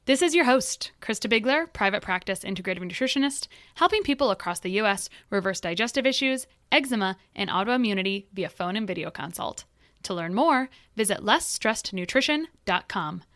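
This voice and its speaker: A chatty woman hosts a casual podcast. She has a mid-pitched voice and rambles easily into side notes. The sound is close and dry, with very little room in it. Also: expressive, a little fast, a bit bright, no echo. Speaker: podcast host. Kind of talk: casual podcast interview chat.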